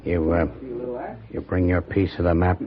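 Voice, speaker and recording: An actor playing a sly, hard-drinking, treacherous outlaw with drawling rustic speech in an old-time radio western. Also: male voice, sits low, completely flat delivery, normal speed, close to an everyday voice. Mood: sly, needling. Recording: muffled old radio recording, background crowd noise.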